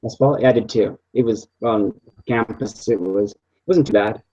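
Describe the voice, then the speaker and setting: A young man in his twenties, a student chatting easily with classmates on a video call, speaking haltingly with many uhs. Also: lowish voice, warm tone, dull audio. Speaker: student in a group project. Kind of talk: casual chat on a video call.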